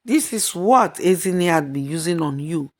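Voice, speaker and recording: A woman's voice: lowish voice, lively intonation, measured pace. A woman voicing a protective older mother-in-law, scolding with emphatic, indignant exclamations in a folktale. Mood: stern accusing.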